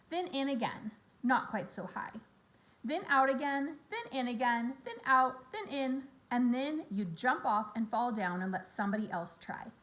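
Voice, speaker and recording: Bright, animated woman reading a storybook. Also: a little high, poor audio. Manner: animated, acts out character dialogue. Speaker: teacher reading a children's novel aloud. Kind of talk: expressive scripted storybook narration.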